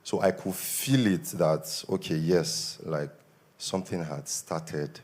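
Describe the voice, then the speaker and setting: A young Nigerian man with a Nigerian accent gives an earnest testimony at a church service, his voice a little worn from shouting. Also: low voice, takes it slowly. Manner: earnest, grows more emphatic. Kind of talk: spoken testimony.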